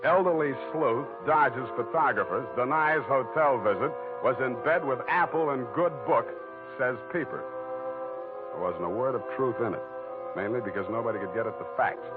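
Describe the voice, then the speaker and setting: A man plays a hard-boiled American private eye with a dry, sardonic delivery in a mid-range voice. It is old radio noir, with a thin narrow band and loud music behind. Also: mid-range voice, moderate pace, natural voice. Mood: sardonic, narrating. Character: wry, world-weary male private detective. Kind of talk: 1940s radio noir narration and dialogue.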